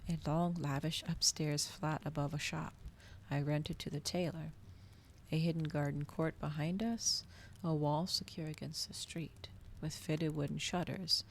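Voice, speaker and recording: A woman softly reading a story aloud in ASMR style, hushed and often slipping into a breathy whisper. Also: low-pitched, fairly even intonation, a little slow, slight background noise. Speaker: streamer reading a novel aloud.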